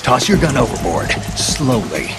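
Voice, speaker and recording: An actor playing a gruff, terse covert soldier who is coolly commanding, in a dramatic video game scene. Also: male voice, mid-range voice, sing-song, unhurried, over loud music. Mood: threatening, terse.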